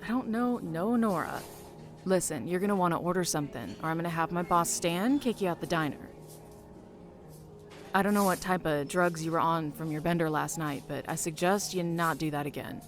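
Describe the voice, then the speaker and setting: A woman's voice: fairly low pitch, moderate pace, faint music behind, lightly acted. A woman playing a brash, slangy, impatient diner waitress in an audio horror drama. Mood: sarcastic, irritated. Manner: sassy, slangy, clipped.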